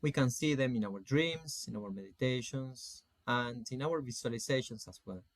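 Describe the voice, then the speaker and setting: A man in his forties from Argentina with an Argentine Spanish accent gives an informal online lecture as a coach, phrasing things in a halting, deliberate way. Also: medium pitch, dry recording. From Salta, Argentina. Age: 40s. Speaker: spiritual coach teaching an online course.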